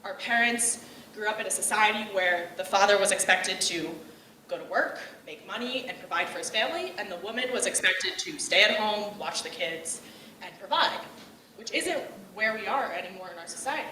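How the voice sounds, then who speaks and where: A young woman giving a personal speech, speaking in a loud, direct and outgoing way. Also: medium pitch, lively intonation, moderate pace, far from the mic, a little hiss or hum. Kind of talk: personal speech on gender roles. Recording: echoey room, voice from afar.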